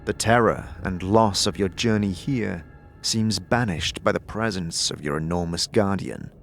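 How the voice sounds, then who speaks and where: A man narrates a dark fantasy audio drama in the second person. His delivery is slow and deliberate and his voice sits somewhat low, with music clearly audible underneath. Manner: measured, dramatic storytelling.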